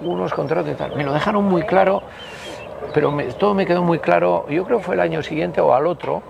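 A gravelly-voiced man, wandering from one memory to the next with plenty of casual swearing and trailing "y tal". He is recorded outdoors on a city street, with traffic and urban bustle clearly audible behind him. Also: a little high, fairly quick, muffled recording. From Basque Country. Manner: rambling, digressive, peppered with casual swearing and "y tal". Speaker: interview guest. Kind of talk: casual conversational storytelling. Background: traffic and city ambience.

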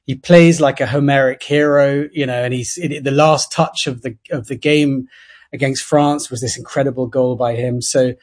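A man's voice: mid-range voice, fairly even intonation, moderate pace. A man from London with a British accent, an author answering interview questions thoughtfully, with stammering restarts. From London, England. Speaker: author, radio guest. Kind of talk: interview answers over a remote line.

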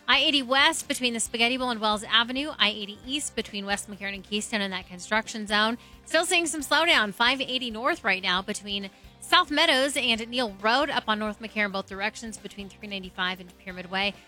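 A woman's voice: a little high, bright, forceful tone. A woman with an American accent giving chatty radio traffic reports.

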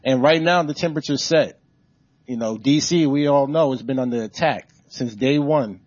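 A male labor organizer answers a question from the audience, building his points like a preacher. The room sound is dry and close, and the recording is muffled and short on top end. His pitch sits in an ordinary middle range. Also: moderate pace, a little mellow, no room sound. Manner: emphatic, rallying, preacher-like cadence. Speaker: author and labor organizer, guest speaker.

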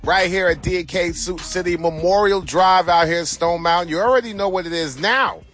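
A man's voice: high voice, moderate pace, fairly bright tone, faint music behind. A man doing a loose, slangy live radio ad from a store. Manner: hype, slangy, self-mocking. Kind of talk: loose, improvised promo.

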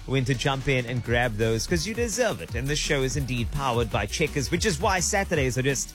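A man's voice: mid-range voice, big pitch swings, a little fast, fairly bright tone. Male radio DJ, chatty and direct, light music bed. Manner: chatty, addresses listeners directly. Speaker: radio host. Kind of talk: upbeat radio countdown presenting.

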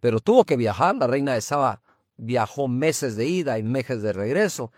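Brief: male pastor host, mid-pitched, affirming interjections, dry room. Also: sing-song, moderate pace, a little mellow, no echo. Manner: affirming, interjecting with short agreements. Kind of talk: conversational interview.

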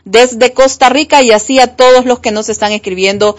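A woman's voice: on the high side, steady tone, moderate pace. A woman hosting a live broadcast, presenting and reading out messages from the audience. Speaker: host reading viewer messages.

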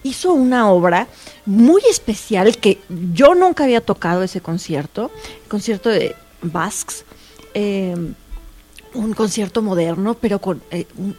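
A woman with a Mexico City accent speaking as a musician guest in a casual interview, telling things in an expressive, emphatic way. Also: medium pitch. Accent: Mexico City Spanish. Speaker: guest, classical violinist and concertmaster.

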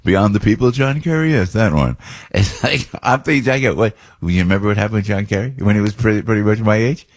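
A man's voice: on the low side, animated, fast. An older man hosting a radio talk show, rambling and chatty with frequent restarts. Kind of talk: casual political talk radio monologue.

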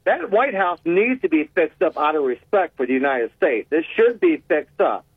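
An older man calls in over a thin, tinny phone line, talking in a blunt, rambling, folksy way. His deep voice sits a little high for a man, and the sound is dry and close. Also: big pitch swings, moderate pace, breathy edge, no room sound. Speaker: caller. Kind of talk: casual call-in chat.

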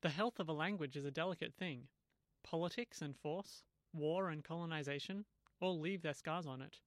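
A male radio reporter with a slightly high voice moves between scripted narration and interview questions. The sound is close and dry. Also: normal speed, no echo.

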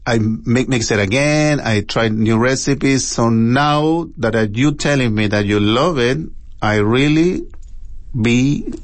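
Chilean guy being interviewed, spanish accent, kinda halting english, very dry studio sound. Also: mid-range voice, dry recording. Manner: halting non-native English with repeated tags. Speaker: empanada shop owner, interview guest. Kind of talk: conversational interview.

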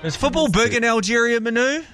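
A man's voice: extremely high pitch, animated, fairly quick, bright, forceful tone, faint music behind. A man with a New Zealand accent co-hosting breakfast radio, teasing with dry jokes. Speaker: radio host. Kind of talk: casual breakfast radio banter.

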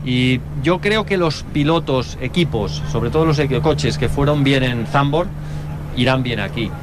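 A man from Barcelona with a light Catalan accent, chatting as a guest in a halting way with many fillers. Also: mid-range voice. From Barcelona, Spain. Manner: hesitant, many fillers and restarts. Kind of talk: casual chat. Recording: outdoor street noise.

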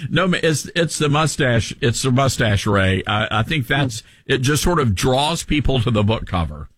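A man hosting a radio interview, friendly and halting, often restarting his sentences. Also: mid-range voice, expressive, a bit bright, husky, no echo, clean studio sound. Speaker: radio show host.

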